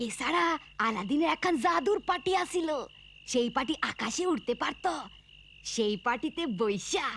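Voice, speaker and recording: A woman voicing a kindly old village grandmother in a cartoon, telling bedtime tales in a rural Bangladeshi accent with a creaky voice. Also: sits high, lively intonation, moderate pace, fairly bright tone, a little hiss or hum, exaggerated voice. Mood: storytelling. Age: older. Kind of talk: cartoon storytelling voice acting.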